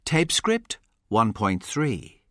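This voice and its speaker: A man announces track numbers for a language course in a formal, measured voice. He speaks very slowly, with his pitch moving widely. The studio is dry. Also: wildly swooping pitch, a bit bright, no echo. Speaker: course narrator.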